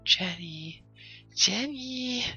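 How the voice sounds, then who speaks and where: Creepy ghost children: high coaxing whispers, raspy and dull-sounding. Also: male voice, animated, extremely slow, bright, forceful tone, soft background music, heavily acted. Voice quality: high breathy whisper, drawn out. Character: ghostly laughing child spirits, coaxing and hungry.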